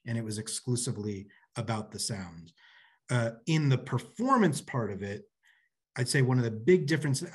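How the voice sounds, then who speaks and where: A man in his forties speaking as a panelist on a video call, explaining in halting, emphatic phrases. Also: medium pitch, slightly rough. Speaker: panelist, radio play director and producer. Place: small office, indoors. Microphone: computer mic on a video call.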